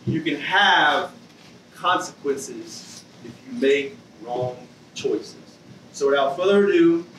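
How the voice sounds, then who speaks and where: Loud youth pastor addressing a crowd. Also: male voice, medium pitch, background noise. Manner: loud, in-your-face preacher, addresses the kids directly. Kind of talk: introducing a speaker to a youth group. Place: dim church youth room with an audience. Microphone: far camera. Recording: roomy hall sound from a distance.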